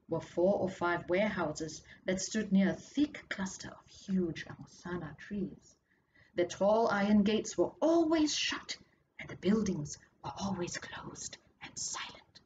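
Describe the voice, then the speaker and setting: A woman reading a story excerpt aloud with expressive, measured and dramatic narration. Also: medium pitch. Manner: measured, dramatic, drops to hushed tones for suspense. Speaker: author reading their own story. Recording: muffled audio with clear background noise.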